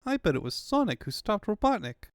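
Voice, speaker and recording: A man's voice: high-pitched, big pitch swings, fairly quick, creaky, clean tone, dry recording, studio quality, voice pushed up, heavily acted. A man voicing an earnest young fox sidekick in a comic dub, with a childlike delivery. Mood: excited, hopeful.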